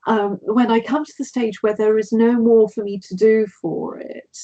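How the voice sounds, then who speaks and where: A woman's voice: on the high side, little pitch movement, moderate pace, dark tone, lo-fi sound. A woman author from Britain, a guest in a casual conversation, speaking thoughtfully as she searches for words.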